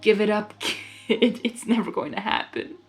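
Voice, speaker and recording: A woman's voice: medium pitch, fairly even intonation, unhurried, creaky, soft background music. A woman voicing a sardonic, mischievous male cartoon lord of chaos in a dry, scornful manner. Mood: dismissive.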